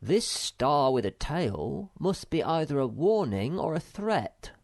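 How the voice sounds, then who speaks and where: A man voicing an earnest young male troll who leads his friends, in a raised, brighter voice. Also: medium pitch, sing-song, takes it slowly, voice pushed up.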